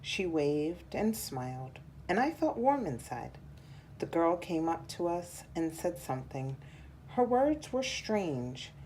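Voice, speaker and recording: A woman reading a children's picture book aloud in a measured, deliberate storytelling style. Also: lowish voice, big pitch swings, darkish tone, a little hiss or hum, poor audio.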